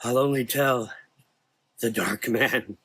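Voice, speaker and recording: A man's voice: medium pitch, slow pace, fairly bright tone, breathy edge, no echo. A man voicing a frail, frightened old doctor who pleads anxiously in a gothic horror audio drama. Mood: fearful resolve.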